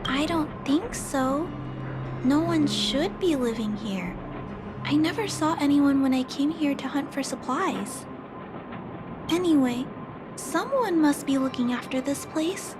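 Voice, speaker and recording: Game girl, kind and polite,; very high, gentle, questioning, with game music behind. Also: lively intonation, a little slow. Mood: curious. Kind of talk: video game cutscene dub.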